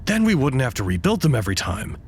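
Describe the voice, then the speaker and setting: A man's voice: medium pitch, wildly swooping pitch, fast, darkish tone, slight background noise. A man acting in an audio drama as a weary, earnest staff administrator, speaking in a dry, understated way. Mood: businesslike.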